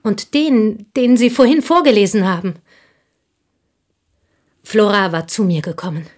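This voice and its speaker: A woman's voice: mid-range voice, measured pace, natural voice. Actress doing bitter small-town schoolteacher woman, radio drama, intense confessional delivery. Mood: fervent confession. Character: bitter, confessing French village schoolteacher, woman, jealous confidante. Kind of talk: intimate radio play acting in German.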